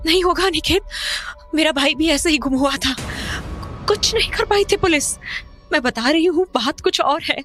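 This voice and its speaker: Horror audio drama lead female actor: easily alarmed young mother, high voice, emotional and pressing. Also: moderate pace, a bit bright, faint music behind. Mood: distraught. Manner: urgent, emotional.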